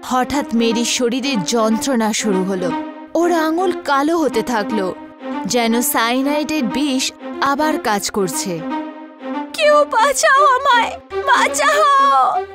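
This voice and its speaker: A woman delivers dramatic scripted horror narration. Her voice is high and very animated in pitch. Music plays clearly behind the storytelling. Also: slow.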